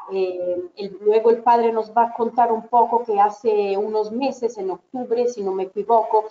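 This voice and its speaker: A woman's voice: a little high, mellow, dull audio. A woman who is not a native Spanish speaker moderates an online webinar, hesitating often and repeating words. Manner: hesitant, repetitive, many fillers.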